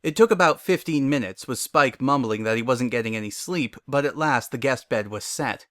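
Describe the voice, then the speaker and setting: A man's voice: medium pitch, moderate pace, a bit bright, no echo, studio quality. A man giving a scripted dramatic narration of a story.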